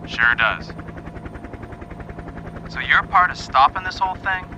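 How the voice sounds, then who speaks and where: A man's voice: fairly high pitch, lively intonation, measured pace, lo-fi sound. A man playing a courteous, folksy, plain-spoken military helicopter pilot in an audio drama. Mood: easygoing, folksy. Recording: loud engine and battlefield noise effects.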